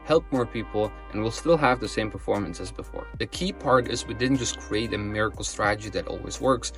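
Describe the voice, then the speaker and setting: A man in his twenties from Austria, a company co-founder delivering a scripted promotional monologue in a confident, steady way. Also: fairly low pitch, a little fast, music in the background. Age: 20s.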